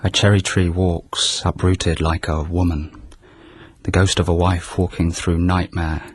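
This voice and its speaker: A sardonic, husky drunken poet. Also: male voice, sits low, level tone, unhurried. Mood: reciting. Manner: mocking, sharp-tongued. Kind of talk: radio drama acting.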